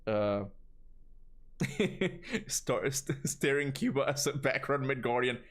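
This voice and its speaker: A man with a Cuban accent chatting casually on a livestream, rambling and animated, answering chat and swearing now and then. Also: medium pitch, takes it slowly. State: tired voice from long talking. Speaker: gaming streamer answering chat.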